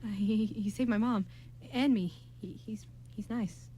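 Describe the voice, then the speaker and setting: A woman's voice: medium pitch, steady tone, a little slow, dark tone, slight background noise, lightly acted. A woman voicing a timid, nervous boy who stammers and hesitates, tongue-tied, in an amateur radio drama.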